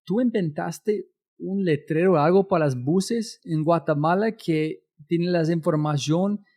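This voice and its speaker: Man, interview host, slightly higher voice, friendly, rambling questions. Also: measured pace, a little mellow. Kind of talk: casual interview.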